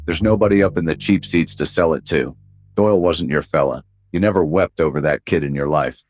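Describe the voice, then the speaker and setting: An actor playing a weary, cynical private detective in a noir radio drama, talking in a wry deadpan. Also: male voice, very deep voice, a little fast. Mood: cold, confronting.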